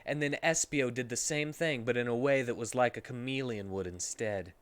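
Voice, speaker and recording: A man's voice: mid-range voice, moderate pace, clear, resonant tone. A man streaming a dramatic reading of comic fan fiction, narrating in a theatrical, mock-serious way.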